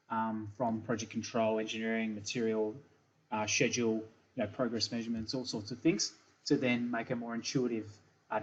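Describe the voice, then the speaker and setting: A middle-aged man with an Australian accent giving a recorded product presentation from a small room, full of ums and repeated words. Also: medium pitch. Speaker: software company principal presenting. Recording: slightly dull webcam audio.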